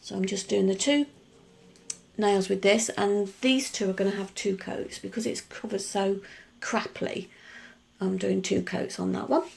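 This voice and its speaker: A middle-aged woman chats through her nail art steps, wandering off into asides as she goes. Her voice is gruff and full of gravelly fry because she has only just got up. Her pitch sits in the middle. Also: steady tone, measured pace. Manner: chatty, rambling explanations with many asides. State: just woken up. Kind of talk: casual tutorial voice-over.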